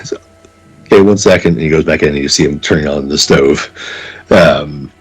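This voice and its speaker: A man running a tabletop game, improvising narration in a halting, conversational way. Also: extremely low pitch, slightly rough. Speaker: game master. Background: faint music.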